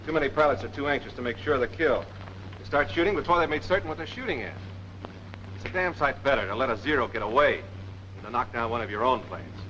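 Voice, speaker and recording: A stern but patient air force major in a 1940s film. His voice is medium in pitch, measured and authoritative, on a slightly noisy old soundtrack. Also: expressive, sharp tone. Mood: grave.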